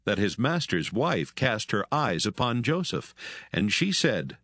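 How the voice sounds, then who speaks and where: Man narrating an audio bible, solemn and measured, on the deep side, really melodic, close dry sound. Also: big pitch swings, a bit bright, no echo. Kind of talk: dramatised scripture narration.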